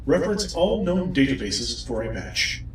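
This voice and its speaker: A commanding, obsessed villain played by a man in an audio drama, drenched in echo. The delivery is deliberate and threatening, with dramatic swings of pitch, heard a little far from the mic. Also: sing-song, lightly acted. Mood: cold, focused. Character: commanding, obsessed villain hunting a lost loved one. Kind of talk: dramatic audio fiction acting. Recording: echoey, distant, faint noise.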